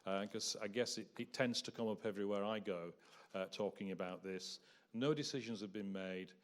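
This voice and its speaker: A man giving a presentation at a public meeting in a hall, measured and hesitant with frequent ums and uhs. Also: fairly low pitch. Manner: measured, hesitant with many fillers.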